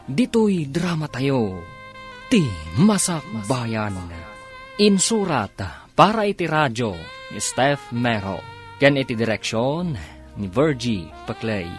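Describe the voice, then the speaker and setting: Man, radio serial announcer, unhurried, formal dramatic delivery, soft music bed. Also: mid-range voice, very animated. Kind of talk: scripted radio narration and credits.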